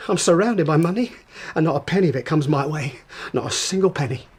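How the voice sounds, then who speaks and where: A man's voice: fairly high pitch, lively intonation, moderate pace, breathy edge. Fretful, swooping suburban father. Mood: anxious, querulous. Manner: querulous, pompous, spluttering. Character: fretful, indignant suburban father drowning in debt. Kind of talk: 1920s-set British radio drama acting.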